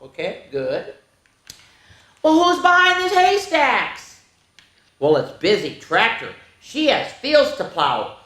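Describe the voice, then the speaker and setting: Female storytime reader for small children, highly animated and sing-song, addressing kids directly, recorded in a roomy, echoing space. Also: takes it slowly, pauses often. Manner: expressive, exaggerated, sing-song with sound effects; chatty asides to young listeners. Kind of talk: animated read-aloud to young children. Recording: echoey room.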